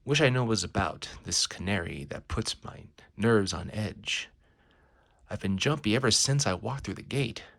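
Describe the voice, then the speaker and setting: A man's voice: on the low side, lively intonation, normal speed, vocal fry, a bit bright, heavily acted. A man voicing a wary, wisecracking superhero who thinks aloud in an anxious, colloquial mutter, as in a comic book reading. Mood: wary, puzzled. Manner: muttering self-talk, colloquial exclamations.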